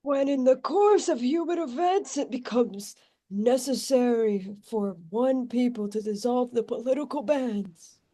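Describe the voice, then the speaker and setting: Manic reciting woman played by an actress, high-pitched and oratorical, for a comedy read. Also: measured pace, dark tone. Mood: manic, declamatory. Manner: declamatory. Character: manic woman loudly reciting a political declaration. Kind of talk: comedy script table read.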